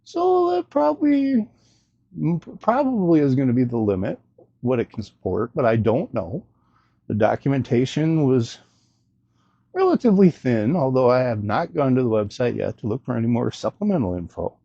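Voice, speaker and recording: Husky guy doing a laid-back gadget demo, kinda rambly and unsure, voice goes up and down a lot, bit lo-fi. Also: medium pitch, big pitch swings, normal speed, mellow, dry recording. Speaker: host of a gadget review video. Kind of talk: casual unscripted product demo and review.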